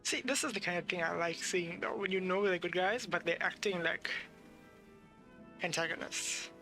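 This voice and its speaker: A male streamer gives casual, grumbling gameplay commentary, half to himself. His voice sits fairly high with plenty of fry, and game music plays clearly behind him. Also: moderate pace, sharp tone.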